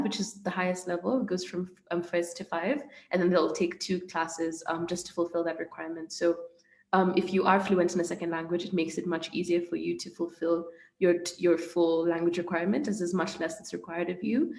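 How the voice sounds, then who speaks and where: A young woman, a native Swahili speaker, answering student questions in a friendly, thoughtful way with many pauses. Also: fairly low pitch, darkish tone. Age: young adult. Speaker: student panelist. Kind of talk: casual Q&A answers. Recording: echoey room.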